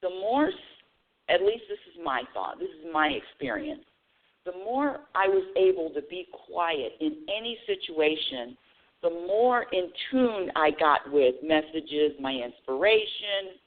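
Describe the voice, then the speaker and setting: A woman's voice: fairly low pitch, sing-song, measured pace. A woman hosting a spiritual call-in radio show, talking earnestly and often restarting her phrases. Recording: tinny phone-line audio.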